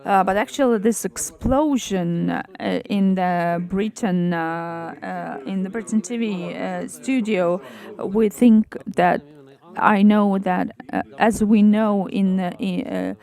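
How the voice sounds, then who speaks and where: A woman's voice: medium pitch, slow pace, warm tone. Hesitant woman moderating a panel. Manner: hesitant, restarting phrases.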